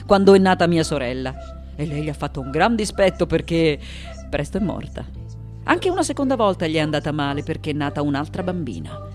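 Old woman artist, bitter n defiant, theatrical radio play, hushed to loud, music under it. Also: on the low side. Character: elderly, wounded, defiant, vengeful woman sculptor. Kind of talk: dramatic radio theatre monologue.

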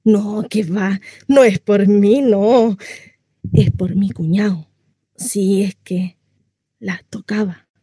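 A woman's voice: mid-range voice, fairly even intonation, slow, dark tone, breathy edge, no echo, studio quality. A woman playing a lonely, naive housewife in a theatrical radio monologue, gossipy, rambling and coyly confiding in a neighbor. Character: chatty lonely housewife, naive, insistently cheerful about her confinement.

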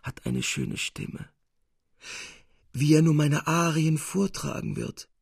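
A man voicing a vain, irascible old Italian composer in a story reading, fussy and self-important with a gravelly voice. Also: a little high, slow pace, no echo, clean studio sound, voice pushed up, heavily acted. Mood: dreamy vanity.

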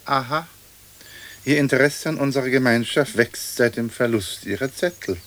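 An actor playing a lofty, philosophising leader of the dead, pompous and florid, in a radio play. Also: male voice, mid-range voice, moderate pace, a bit bright, close to an everyday voice. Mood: patronising. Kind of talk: German radio play acting.

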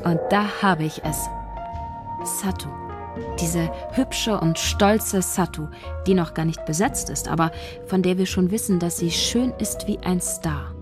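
An actress playing a shy young woman scriptwriter, narrating her own story in a quiet, earnest first-person voice. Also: fairly low pitch, a little slow, close to an everyday voice. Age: young adult. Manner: quiet, earnest inner monologue. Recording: studio radio play over music.

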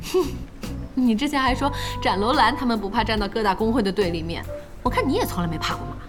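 Young female gamer played by an actress, high and very animated, nervy and excitable, faint music underneath. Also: fast, lightly acted. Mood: curious, impressed. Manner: excitable, expressive, wide-eyed. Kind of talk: Chinese audio drama acting.

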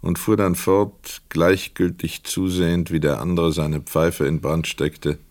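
A man reading scripted literary narration for a radio play in a measured, precise storytelling manner. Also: low voice, level tone, a little mellow, dry recording, clean studio sound.

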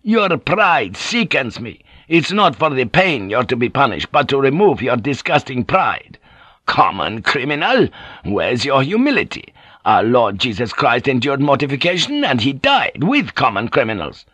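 A man's voice: medium pitch, very animated, normal speed, a bit bright, no room sound, voice pushed up. A male narrator plays a zealous priest and stern superior from a dramatic audiobook. The voice is commanding and thunderous, lifted well above plain narration, condemning with fierce authority in a dry, close sound. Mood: enraged. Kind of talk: dramatic audiobook character acting.

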